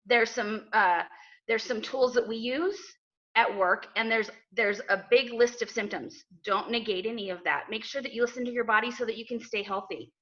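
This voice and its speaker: A woman's voice: on the high side, normal speed, a bit bright, muffled recording. A woman giving casual advice to a group as a guest speaker.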